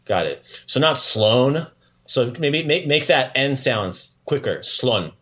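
A man's voice: mid-range voice, very animated, slow pace, fairly bright tone. A man hosting a podcast language lesson in a casual, playful and encouraging way. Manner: encouraging, praising the learner often.

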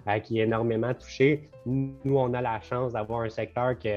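A man's voice: medium pitch, little pitch movement, normal speed, a little mellow, faint music behind. A young man with a Quebec French accent, a business co-owner talking loosely and at length in a casual podcast conversation, dropping in English words. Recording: slightly dull lo-fi sound.

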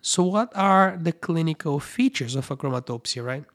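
A man's voice: fairly high pitch, expressive, moderate pace, fairly bright tone. A man, a medical doctor, hosting a podcast and explaining eye research in simple, friendly terms, often checking in with "right?".

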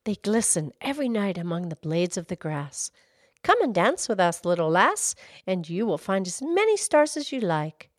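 A woman's voice: medium pitch, big pitch swings, moderate pace, dry recording, clean studio sound, pitched above the performer's own voice. A woman voicing a tiny, playful fairy who speaks in riddles in a folk tale. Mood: inviting.

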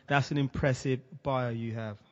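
A man's voice: medium pitch, steady tone, normal speed, dull audio. A man hosting a podcast interview, talking in a friendly, eager way with frequent restarts. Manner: friendly, stumbling restarts, enthusiastic. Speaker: podcast host.

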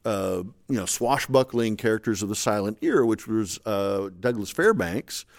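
A man's voice: on the low side, animated, moderate pace. A man hosting a film podcast, talking at length about film history in a hesitant way, with lots of fillers and restarts. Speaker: podcast host. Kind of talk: casual film discussion.

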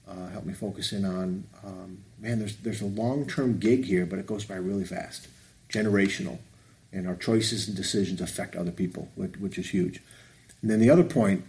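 A man's voice: fairly low pitch, mellow. A man in his fifties hosting a podcast, talking in a reflective, rambling way with many restarts. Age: 50s. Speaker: podcast host. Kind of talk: casual chat.

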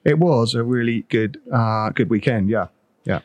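A man's voice: mid-range voice, moderate pace, darkish tone, dry recording. A man co-hosting a podcast, chatting in an easygoing way with many ums. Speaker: podcast co-host. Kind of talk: casual chat. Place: warehouse with a bottling line.

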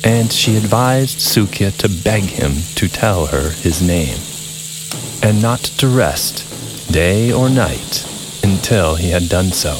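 A man narrating a scripted folktale as a storyteller, deliberate and dramatic with pauses. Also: deep voice, lively intonation, noisy background. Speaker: storyteller, podcast host. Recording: music behind the voice. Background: music.

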